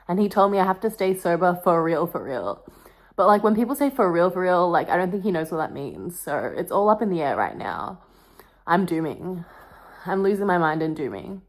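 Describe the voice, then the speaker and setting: A young woman vlogging in a casual, confessional way, with a melodramatic, wry and self-mocking manner. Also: on the low side. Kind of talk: casual confessional vlog with dramatic book reading.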